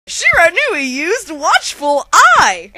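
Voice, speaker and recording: A woman's voice: very high voice, wildly swooping pitch, slow, bright, forceful tone, no echo. A woman co-narrating a fan-made comedy spoof, telling the story in a slangy, mock-dramatic way. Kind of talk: comic storytelling narration of an abridged game parody.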